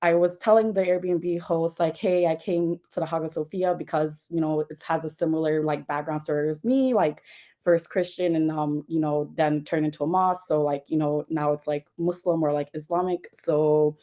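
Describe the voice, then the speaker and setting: A woman's voice: low voice, fairly even intonation, normal speed, warm tone. A young woman from Jamaica, a nonprofit founder, telling her story in a casual interview with many fillers and restarts.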